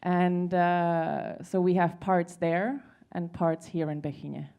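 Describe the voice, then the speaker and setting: A woman's voice: lowish voice, steady tone, takes it slowly, dark tone, muffled recording. A woman from Switzerland speaking as a panelist in a conversational discussion, often hesitating and repeating words as she searches for them. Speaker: panelist at a conference.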